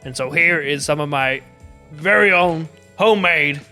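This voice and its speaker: A man playing a gallant, flattering adventurer with formal, showy courtesy. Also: a little high, normal speed, soft background music. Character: gallant, flattering comic adventurer bearing homemade gifts.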